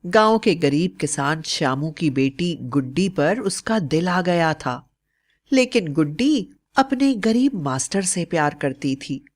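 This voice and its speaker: A woman's voice: lowish voice, expressive, measured pace. A woman narrator reading a scripted story.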